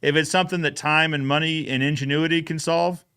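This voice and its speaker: A man's voice: on the high side, steady tone, fairly quick, sharp tone, clean tone, dry recording, studio quality. A man hosting a casual recap chat, talking conversationally and addressing the viewers directly. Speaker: YouTube host.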